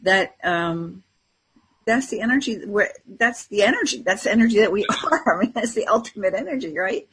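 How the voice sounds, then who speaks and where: A woman with a strongly husky voice at a medium pitch speaks in an unscripted, conversational way. She sounds like a reflective spiritual teacher on a talk show, often acting out little bits of inner self-talk. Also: animated, normal speed, a bit bright, low-quality recording.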